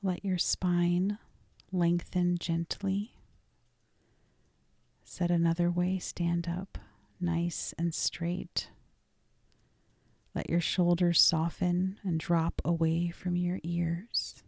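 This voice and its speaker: A woman leading a guided meditation in a soft, gentle, deliberate voice that often trails toward a whisper, with frequent pauses. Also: lowish voice, little pitch movement, gravelly, no echo, clean studio sound. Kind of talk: guided meditation, scripted and soothing.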